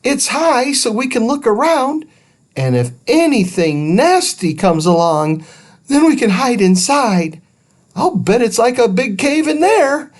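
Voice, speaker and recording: A man's voice: very high-pitched, sing-song, a little slow, pitched above the performer's own voice, exaggerated voice. A man voicing a plucky young girl in a children's storybook, with an urgent, take-charge manner. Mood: decisive.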